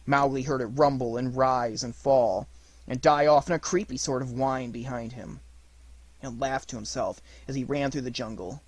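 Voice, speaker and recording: A man narrates a storybook as an audiobook reader. His voice sits at a middle pitch, and he reads in a scripted storytelling style. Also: fairly even intonation, moderate pace, dry recording.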